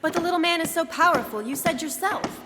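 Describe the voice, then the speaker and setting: A woman's voice: very high voice, quick pace, very noisy. A woman playing an earnest, worried companion to a detective in a live old-time radio drama, in an echoey hall. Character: anxious, loyal female companion of a sleuth.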